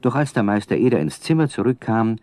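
A man acts as the calm storyteller of a children's radio play. His voice is very low and mellow, with expressive rises and falls. He reads in a composed storybook style. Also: a little fast, no room sound, lightly acted. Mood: calm, amused. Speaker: narrator. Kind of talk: scripted storybook narration.

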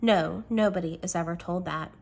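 A woman voicing a great, gentle, wise male lion in a storybook reading, calm and grave. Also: on the low side, moderate pace, vocal fry.

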